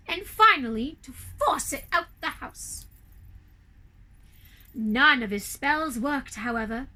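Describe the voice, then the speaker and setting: Female children's storyteller, theatrical storybook reading: high, bright voice, unhurried and deliberate. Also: rough recording. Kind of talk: expressive storybook narration for children.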